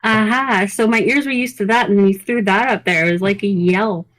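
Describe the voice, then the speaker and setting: She is a woman with a mid-pitched voice, chatting casually as a podcast co-host. Her manner is playful and teasing. The talk is relaxed in form, like unscripted banter with a colleague. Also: normal speed, slight background noise, low-quality recording. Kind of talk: casual chat.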